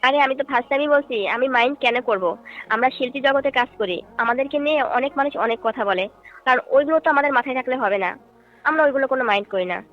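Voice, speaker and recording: High, quick actress as courteous female folk singer. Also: close to an everyday voice. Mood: polite, guarded. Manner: polite, explanatory, questioning. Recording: dull phone-call audio with music behind.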